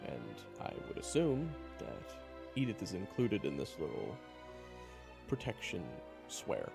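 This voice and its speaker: Sardonic male adventurer-narrator, man acting: gravelly, lively, casual storytelling, long reverb and clear music behind. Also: low voice, a little slow, heavily acted. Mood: earnest, wary. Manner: wry, casual. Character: sardonic, casual male adventurer telling his own tale. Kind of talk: first-person dramatic narration and acting.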